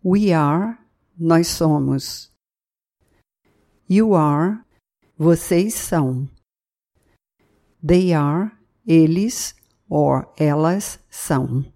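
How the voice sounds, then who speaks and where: A woman from Brazil leads a beginner language lesson, saying each phrase in two languages with slow, careful enunciation. Her voice sits low and mellow, and her teacherly delivery stays clear and even. Nothing in her reading is rushed. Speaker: language teacher and podcast host. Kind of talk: scripted bilingual language lesson.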